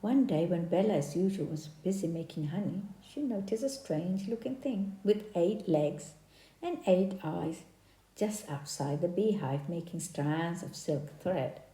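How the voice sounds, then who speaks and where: A woman narrates a children's bedtime story as a storyteller. Her tone is soft and mellow, recorded with a clear roomy echo. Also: on the low side, a little slow.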